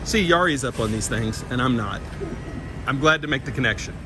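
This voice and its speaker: A man's voice: medium pitch, fairly quick. Kentucky man with a Southern twang on a street. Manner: friendly, reads out viewer comments. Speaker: walking vlog host. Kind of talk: live-stream chat while walking. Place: outdoors on a city street. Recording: street noise behind the voice. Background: traffic noise.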